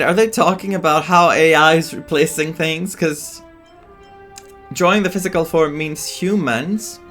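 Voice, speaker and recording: A man's voice: fairly high pitch, a bit bright. A man giving casual, rambling, reactive commentary as he streams a game playthrough. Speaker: gaming streamer.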